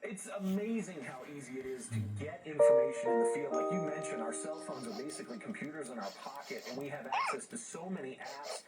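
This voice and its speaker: Middle-aged man, distant under loud music. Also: medium pitch, lively intonation, brisk, few pauses. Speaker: storm chaser and meteorologist guest. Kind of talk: conversational interview answers. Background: loud music with other voices mixed in.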